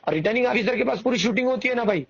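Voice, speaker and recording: A man with a notably high voice and a Marathwada Dakhni accent argues his case on a dull-sounding phone recording. He is indignant and insistent, circling back to repeat his points in informal speech. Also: rapid-fire. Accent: Marathwada Dakhni Hindi-Urdu. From Parbhani, Maharashtra, India. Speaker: election candidate and party worker. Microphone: phone call. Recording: muffled recorded phone call.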